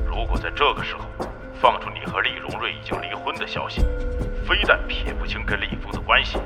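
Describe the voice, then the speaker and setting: A man's voice: lowish voice, natural voice. An actor playing a stern, domineering businessman father in a radio drama, commanding and scolding. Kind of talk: modern radio drama acting. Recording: long hall reverb, music underneath.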